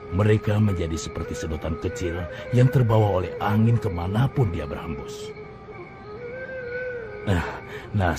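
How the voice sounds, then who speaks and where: An actor playing a calm, devout elder in a dubbed cartoon, solemn and kindly. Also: male voice, low-pitched, close to an everyday voice. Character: calm devout male elder guiding travelers.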